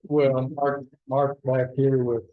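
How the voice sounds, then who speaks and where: A man leading a town board meeting, talking haltingly with many restarts. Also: mid-range voice, flat delivery. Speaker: presiding board trustee. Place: town hall meeting room. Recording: dull lo-fi meeting recording.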